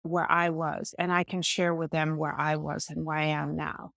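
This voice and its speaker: A woman's voice: low-pitched, level tone, normal speed, creaky, fairly bright tone, no room sound, clean studio sound. A middle-aged woman from Denmark, explaining her coaching work earnestly and emphatically as an interview guest. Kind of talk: conversational interview answers.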